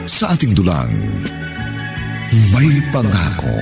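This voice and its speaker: A man's voice: deep voice, wildly swooping pitch, slow, music in the background. A man with a Filipino accent announcing a radio drama in a theatrical scripted style.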